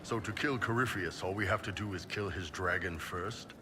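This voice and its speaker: Man playing a deep-voiced gruff big warrior. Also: moderate pace, long reverb tail, noisy background, lightly acted. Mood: cocky, reassuring. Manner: blunt, casual, confident. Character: big gruff warrior mercenary, cocky and loyal. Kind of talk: video game character dialogue.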